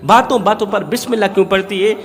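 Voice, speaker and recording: Man acting an indignant husband, high-pitched, reverberant. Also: quick pace, lightly acted. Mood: indignant, resentful. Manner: indignant, repetitive rhetorical complaining. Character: resentful hypocrite husband, jealous and boastful of his generosity. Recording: long hall reverb.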